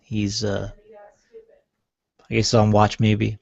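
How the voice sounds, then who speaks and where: A man improvising scene descriptions as game master, halting and hesitant as he goes. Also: lowish voice, monotone, creaky, darkish tone. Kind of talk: improvised tabletop game narration. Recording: dull, roomy lo-fi recording.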